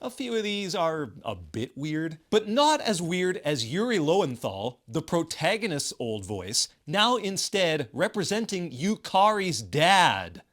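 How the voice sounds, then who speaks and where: A man's voice: fairly high pitch, sing-song, measured pace, hardly any pauses, a bit bright. A man narrating a scripted video essay as a channel host, enthusiastic and given to conversational asides.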